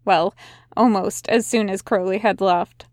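A woman reading a fan fiction story aloud in a soft, unhurried narration. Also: mid-range voice, fairly even intonation, no echo, clean studio sound. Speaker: podfic reader.

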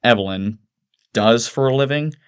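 A man voicing a smug, lewd, loudmouthed young yuppie with a sneering, sarcastic delivery in an audiobook. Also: medium pitch, normal speed, clear, resonant tone, close to an everyday voice. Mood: scornful. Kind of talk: audiobook character voice.

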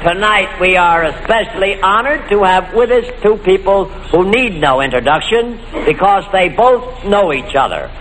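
High-voiced, swooping old-time radio comedy host, man. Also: expressive, moderate pace, hardly any pauses, a bit bright. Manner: showy introductions, dry wisecracking asides. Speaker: comic quiz show host. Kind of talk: 1940s radio comedy hosting. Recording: noisy old radio recording, echoey hall with audience.

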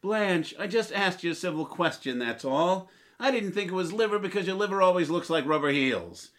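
A man's voice: sits high, lively intonation, normal speed. A man playing an exhausted, put-upon husband in an old-time radio comedy, grumpy and complaining.

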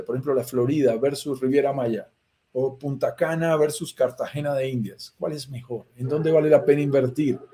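A man's voice: mid-range voice, warm tone. A Colombian man with a Colombian Spanish accent, hosting a live-streamed investing webinar in an enthusiastic, persuasive way and speaking straight to his viewers. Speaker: live webinar host for real estate investing. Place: indoors in Bogotá.

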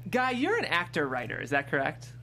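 Higher-pitched male interviewer over noisy hum. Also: huge pitch swings, a little fast, pushed and bright. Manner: chatty, filler-heavy, roundabout phrasing. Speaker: interviewer, show host. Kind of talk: casual interview questions. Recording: clearly noisy background hum.

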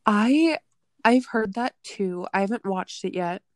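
A woman's voice: medium pitch, expressive, measured pace, no room sound, studio quality. A woman with a Minnesotan accent co-hosting a podcast in casual, giggly chat, often trailing off with "like". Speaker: podcast co-host.

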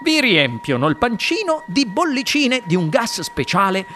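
A gleeful eccentric candy boss for an audiobook, way high and swooping, always rushing and exclaiming. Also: big pitch swings, bright, forceful tone, faint music behind. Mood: gleeful, boastful. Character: excitable, eccentric, impatient male candy-factory owner, gleeful showman.

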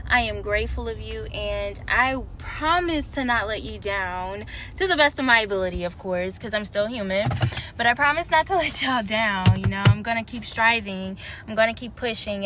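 A woman hosting her podcast in casual, slangy chat, a little hoarse from a cold. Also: fairly high pitch, slight background noise. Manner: animated, slangy and chatty. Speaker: podcast host. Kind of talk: casual spiritual podcast talk.

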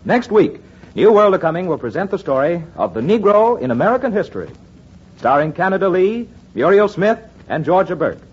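A man's voice: sits high, very animated, fairly quick. A man announcing the credits of a radio program in a formal, declamatory broadcast style. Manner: crisp, declamatory credits reading. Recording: dull 1940s radio broadcast recording with music.

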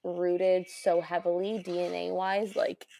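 A young woman from the Midwest with a fairly deep voice, co-hosting a podcast in casual, giggly chat. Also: flat delivery, unhurried, creaky, mellow. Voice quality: deeper voice. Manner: giggly, lots of fillers. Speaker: podcast co-host.

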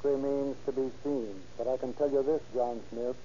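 An actor playing a confident male police inspector in an old radio drama, questioning suspects in a calm, dry way. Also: medium pitch, normal speed, a little hiss or hum, close to an everyday voice. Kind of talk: 1930s radio acting. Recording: dull old radio recording.

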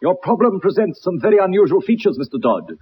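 An actor playing an inquisitive, composed gentleman detective in a radio drama, probing and formal. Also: sits high, sing-song, fast talker, husky, full character voice. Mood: keenly interested. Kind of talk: 1960s radio drama acting. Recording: muffled old radio broadcast recording.

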